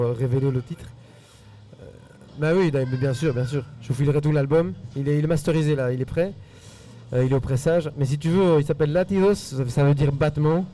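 A man with a Belgian French accent whose first language is Spanish, earnestly answering interview questions in quick rushes with repeated words. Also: on the high side, warm tone, recorded from a distance. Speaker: musician guest.

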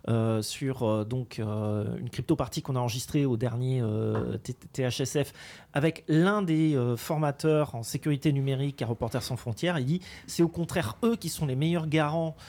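A man's voice: mid-range voice, normal speed, darkish tone. A man co-hosting a podcast, chatting casually, teasing the others and going off on digressions. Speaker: podcast co-host. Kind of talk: casual chat.

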